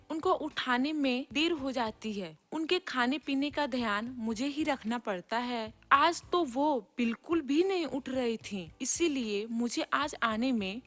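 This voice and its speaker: A woman voicing a kindly, humble old grandmother in a moral story, gentle and affectionate. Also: high-pitched. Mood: humble, explaining. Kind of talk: scripted Hindi moral story acting.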